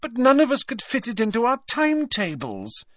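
Man putting on a timid, high-pitched schoolgirl. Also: wildly swooping pitch, moderate pace, dry recording, clean studio sound, full character voice. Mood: earnest. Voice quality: higher, softened, tremulous voice. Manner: timid, pleading. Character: earnest, timid, anxious schoolgirl.

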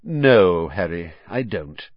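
Casting: elderly wizard headmaster played by a male narrator, kindly, measured, widely swooping intonation, dry close recording. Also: deep voice, very animated, no room sound, lo-fi sound, exaggerated voice. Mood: gentle, sombre. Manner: measured, gentle, unhurried.

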